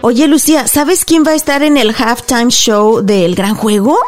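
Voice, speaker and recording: A woman's voice: a little high, a little slow, music in the background. A woman working as a radio host, speaking in a conversational hosting style.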